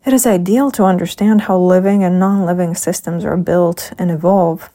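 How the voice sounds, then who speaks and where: A woman's voice: fairly low pitch, fairly even intonation, normal speed, mellow. A middle-aged woman, a crew member in a simulated Mars habitat, reflecting thoughtfully and earnestly in an audio log.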